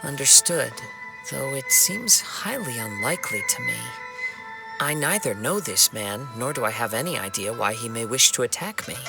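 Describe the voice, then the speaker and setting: A young male wandering swordsman from a video game, humble and gentle. His speech is formal and measured, with some creak in the voice, over clear background music. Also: medium pitch, lively intonation, poor audio. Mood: polite, composed. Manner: soft-spoken, formal, measured, earnest. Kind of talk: scripted video game dialogue.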